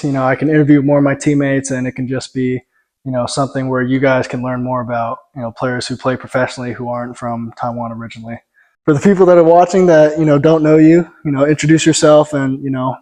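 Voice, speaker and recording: A man's voice: mid-range voice, flat delivery, fast, darkish tone. A young man hosting a casual interview for his channel, easygoing, leaning on "you know" and "like" as he asks questions. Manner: easygoing, heavy use of "you know" and "like", frequent back-channel. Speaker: host, YouTuber and basketball player.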